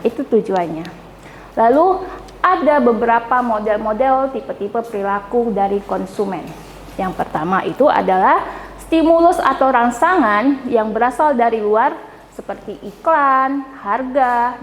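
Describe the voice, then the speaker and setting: A woman's voice: fairly high pitch, a little hiss or hum. A woman lecturing a class in a chatty way, asking her students questions and checking for agreement. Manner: chatty, often asks "ya kan?" for agreement. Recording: echoey classroom with long reverb.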